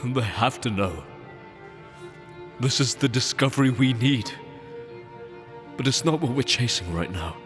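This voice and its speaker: A man's voice: mid-range voice, expressive, a little slow, pauses often. An actor playing an anguished, remorseful male space explorer in an audio drama, with earnest, emotional delivery. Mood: remorseful, resolute. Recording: loud music under the voice.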